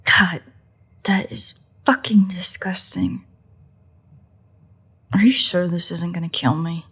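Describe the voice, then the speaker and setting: A sardonic female vampire played by a woman speaks close and intimately, as in a roleplay audio drama. Her voice is slightly low and breathy-husky, with plenty of gravelly creak. She is dry, teasing and mocking, with grudging exasperation and a crude edge. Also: fairly even intonation, slow pace, a bit bright, heavily acted. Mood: disgusted, grumbling.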